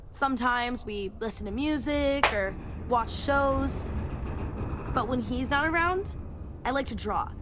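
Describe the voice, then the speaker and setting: High-voiced, eager little girl. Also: fairly bright tone, slight background noise. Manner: eager, childlike, rambling. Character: cheerful, chatty young girl living on Mars. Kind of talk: video game character dialogue.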